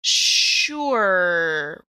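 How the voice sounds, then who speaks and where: A woman's voice: on the low side, sing-song, extremely slow, pushed and bright. A woman playing a tabletop roleplaying game, chatting casually with wry, joking remarks. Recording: clearly noisy mic with clicks.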